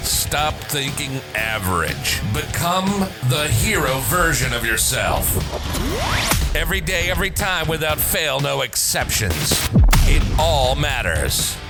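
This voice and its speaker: A man's voice: medium pitch, very animated, takes it slowly. A man announcing a podcast intro in a scripted, punchy, emphatic way. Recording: loud music bed under voice.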